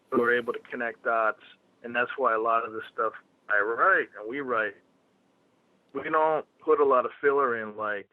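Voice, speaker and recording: A man's voice: mid-range voice, no room sound. A man with a Philadelphia accent, talking bluntly and profanely on a phone call. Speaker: phone guest. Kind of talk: casual phone conversation. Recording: tinny phone line.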